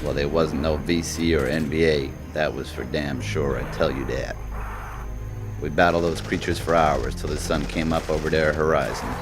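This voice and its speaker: Very deep-voiced, war-weary old soldier acted by a man. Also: steady tone, normal speed, over music. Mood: grim, weary. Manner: folksy, plain-spoken storytelling with rough grammar. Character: gruff, war-weary old male soldier recounting eerie wartime encounters. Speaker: voice actor. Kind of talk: scripted narration, film monologue voice reel.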